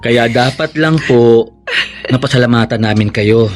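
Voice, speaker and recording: An actor playing a dutiful, grateful young student son in a polite, heartfelt Filipino radio drama style. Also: male voice, medium pitch, unhurried, soft background music, natural voice. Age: young adult.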